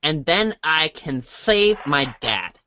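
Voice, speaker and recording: Woman doing young boy hero, low for a woman, bright edgy tone, slow hesitant pace, earnest, storybook acting. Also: big pitch swings, no room sound, exaggerated voice. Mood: earnest, unsure. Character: naive, puzzled young boy hero, good-natured. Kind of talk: amateur read-along storybook acting.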